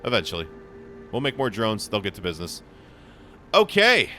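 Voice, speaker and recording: Hoarse young male gamer host, low-set forceful voice, rambling chatty commentary, faint game music behind. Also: wildly swooping pitch, normal speed. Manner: rambling, restarts often, thankful and chatty. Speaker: gaming channel host. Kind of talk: casual gameplay commentary.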